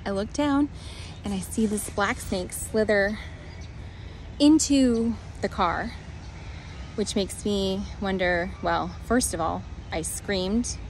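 A woman's voice: medium pitch, takes it slowly, slight background noise, poor audio. A woman in her forties telling a rambling, flustered story into a phone held at arm's length outdoors, sighing often. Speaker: vlogger. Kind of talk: casual selfie vlog. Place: parking lot outdoors.

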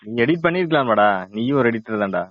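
A man's voice: mid-range voice, animated, fast talker, dry recording. A man chatting casually with friends as a vlogger. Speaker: vlogger planning videos with his friends. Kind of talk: casual chat. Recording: dull lo-fi recording.

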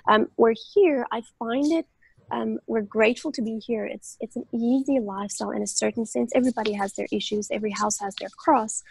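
A woman's voice: on the high side, brisk, creaky, slight background noise, low-quality recording. A woman in her thirties from South Africa, a native Afrikaans speaker with a South African accent, talking thoughtfully on a video call through an earphone mic with many fillers and restarts. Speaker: guest, medical doctor. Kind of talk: casual interview talk. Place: home room on a video call. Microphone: earphone headset mic on a video call.

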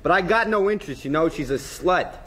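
A man's voice: high-pitched, animated, a little fast, fairly bright tone, slight background noise. A young actor reading a cocky teenage boy at a table read. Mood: defensive.

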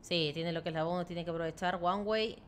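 A young Chilean woman with a Chilean Spanish accent and some Argentine touches, giving live esports commentary from an indoor room at home, quick to burst into excited exclamations. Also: lowish voice. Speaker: esports caster. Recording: clear background noise from game sounds.

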